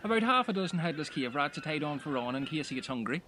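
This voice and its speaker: Noisy recording of a man acting as a high-voiced, excitable castaway oddball in a comedy audio play. He blurts and pesters like a big kid, his pitch leaping about. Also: fast talker. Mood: cheeky. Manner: blurting, childish, insistent.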